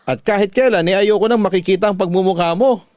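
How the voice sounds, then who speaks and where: A man's voice: high-pitched, quick pace, a little mellow, dry recording, voice pushed up, close to an everyday voice. A man with a Filipino accent voicing a stern, angry older father in a radio drama, scolding and commanding.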